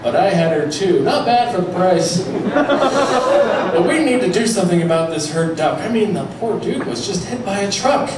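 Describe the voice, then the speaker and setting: A man's voice: high voice, lively intonation, moderate pace, pitched above the performer's own voice. A man voicing a casual, crude, hungry male crow in a storybook reading, with a laid-back wisecracking manner. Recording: noisy echoey live stage, far mic.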